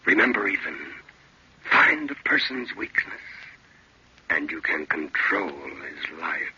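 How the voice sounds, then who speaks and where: An actor playing a man's sinister, calculating second soul in an old radio drama, slow, deliberate and insinuating. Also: rumbling low, pushed and bright, a little hiss or hum, heavily acted. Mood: coolly scheming. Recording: dull, lo-fi old radio recording.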